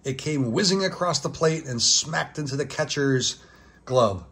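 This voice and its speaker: Guy reading a kids book out loud, really slow and expressive. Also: mid-range voice, noisy background. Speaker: children's book reader. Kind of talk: storybook read-aloud.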